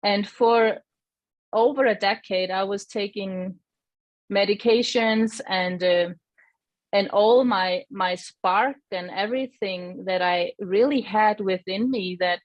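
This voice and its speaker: A woman from Iceland, giving a gentle, reflective personal testimony as a guest and often searching for her words. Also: mid-range voice, slow pace, no room sound, muffled recording.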